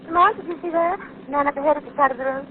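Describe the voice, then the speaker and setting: Woman playing uneasy young lady, high voice, vintage radio mystery acting, very noisy tinny recording. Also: racing, lightly acted. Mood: urgent. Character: anxious, lovely young lady companion of a crime fighter. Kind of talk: 1940s radio drama acting.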